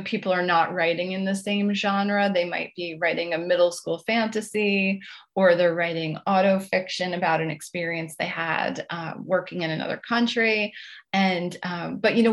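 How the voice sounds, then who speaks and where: A woman's voice: fairly low pitch, a bit bright. A middle-aged woman speaking conversationally as an interview guest, chatty and often hedging her phrases as she goes. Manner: chatty, hedging with "you know" and "I guess". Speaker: guest author.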